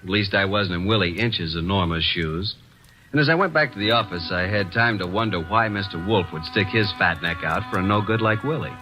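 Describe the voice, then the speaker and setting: A man's voice: sits low, brisk, pushed and bright, soft background music, low-quality recording. An actor playing a wisecracking male detective's assistant in old-time radio acting, with wry, hard-boiled narration and quips.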